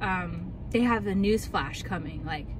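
A woman's voice: lowish voice, measured pace, slight background noise, rough recording. A young woman vlogger chatting and ranting casually in a car, full of likes and ums. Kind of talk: casual chat.

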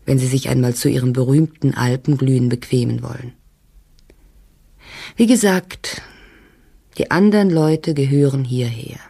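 A woman playing a lonely, melancholy outsider confiding in a letter in an audio drama, often trailing into hushed breath. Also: deep voice, vocal fry, breathy edge, exaggerated voice.

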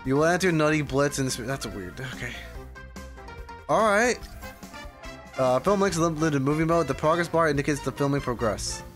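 Animated young male game streamer. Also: a little high, moderate pace, pauses often. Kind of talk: casual live gameplay reaction. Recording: light game music behind. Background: video game music.

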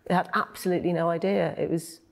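Lower-voiced woman on a panel. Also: steady tone, fast, gravelly.

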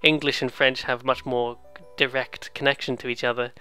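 Casual let's player chatting over a game, rambly n playful, clear bright voice, bit of music behind. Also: medium pitch, little pitch movement, moderate pace. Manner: rambling, playful, mimics sounds and game lines. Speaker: let's play host. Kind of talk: casual video game commentary.

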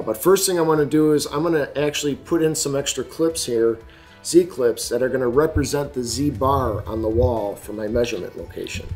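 A man's voice: medium pitch, darkish tone. Man demonstrating wall panels in a workshop. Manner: plain practical explaining with fillers, restarts and shop talk. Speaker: installation instructor. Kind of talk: hands-on how-to demonstration. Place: large indoor workshop with wood mock-up walls.

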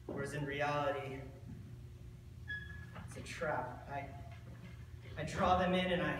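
A young man playing a bitter, drunken, brooding self-styled monster in a theatrical monologue, speaking deliberately with dramatic pauses. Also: mid-range voice, lightly acted. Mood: brooding, menacing. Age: teen or young adult. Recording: distant, noisy, very echoey school stage.

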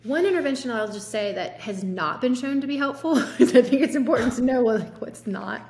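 A woman in her thirties, a physician, explaining treatment options in a relaxed way with many fillers, recorded over a video call from a home office. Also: fairly high pitch, fairly quick. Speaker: physician guest. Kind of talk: conversational medical discussion. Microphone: laptop or webcam mic on video call. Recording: roomy video call audio with faint noise.

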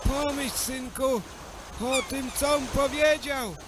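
Slow-spoken old father, voiced by a woman. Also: sits high, steady tone, background noise. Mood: gently advising. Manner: archaic, admonishing speech. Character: fisherman's old father, gently advising his son. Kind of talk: folk fairy-tale radio play. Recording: loud music behind the voice.

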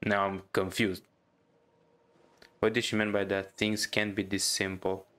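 A man giving casual gameplay reaction commentary as a streamer, musing aloud and haltingly. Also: fairly low pitch, flat delivery, bright, forceful tone. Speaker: game streamer.

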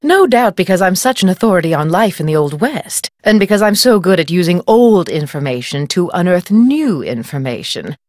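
A haughty, low-voiced lady novelist. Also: very animated, normal speed, few pauses, a little mellow, no room sound, clean studio sound. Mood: lecturing, condescending. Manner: pompous, condescending, articulate. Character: haughty, self-important woman novelist, patronizing and brisk. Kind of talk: video game character dialogue.

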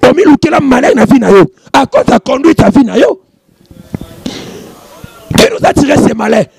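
A man's voice: very high-pitched, gravelly, a little mellow, husky, dry recording. A man in his thirties, a prophet hosting a studio show, preaching and calling out over a handheld microphone. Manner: chanting exclamations, mixing French and Lingala. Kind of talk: preaching and reading out prayer messages. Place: studio with warm lamp backdrop.

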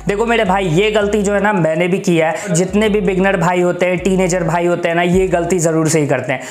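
A man's voice: high-pitched, fairly even intonation, fairly quick, roomy sound. A young man giving casual gym advice in an earnest, brotherly way, full of fillers. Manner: earnest, brotherly, repeats "mere bhai" and fillers. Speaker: fitness YouTuber.

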